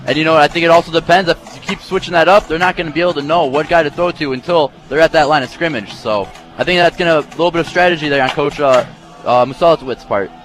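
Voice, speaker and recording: Man, American accent, rapid talker, slightly high pitch, football color commentary, casual explanatory delivery. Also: fairly bright tone, faint music behind. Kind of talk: live football analysis, conversational.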